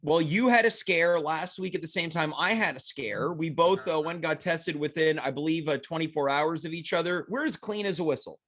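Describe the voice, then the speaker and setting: A man's voice: fairly high pitch, fairly quick, few pauses, fairly bright tone. A man hosting a casual comedy talk show, joking and rambling playfully while congested with a cold. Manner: playful, rambling, self-mocking jokes.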